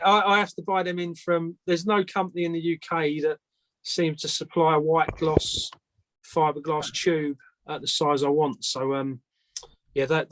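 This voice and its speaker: A man's voice: a little high, a bit bright, no room sound, rough recording. A man who makes antennas, answering questions casually as a guest in a plain-spoken, hesitant way. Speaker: antenna maker, guest speaker.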